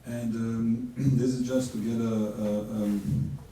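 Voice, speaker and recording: A man from Bosnia and Herzegovina, a neurosurgeon giving a measured course lecture with many fillers and restarts. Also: medium pitch, little pitch movement, dark tone. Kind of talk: conference lecture. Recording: distant mic in an echoey hall with faint noise.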